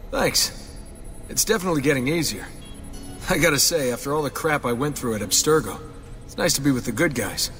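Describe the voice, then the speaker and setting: Casual young hero, echoing. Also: male voice, medium pitch, lively intonation, a little fast, a bit bright. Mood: easygoing. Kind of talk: video game cutscene acting. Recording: long reverb under faint music.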